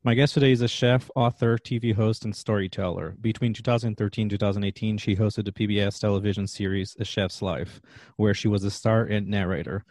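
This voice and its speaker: A middle-aged man from Portugal with a Portuguese accent, hosting a food podcast with an upbeat, energetic manner. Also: lowish voice, fairly quick, no echo, clean studio sound, soft background music. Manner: energetic upbeat intro, quieter when asking questions. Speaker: podcast host and chef. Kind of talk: podcast interview and ad read.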